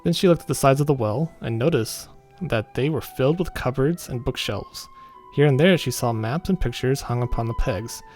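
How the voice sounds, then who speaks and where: Male gaming host, medium pitch, informal read-aloud with off-the-cuff comments and small stumbles, clear music bed. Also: normal speed, darkish tone. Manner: informal, stumbles over text and rereads. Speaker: gaming video host reading a visual novel aloud. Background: background music.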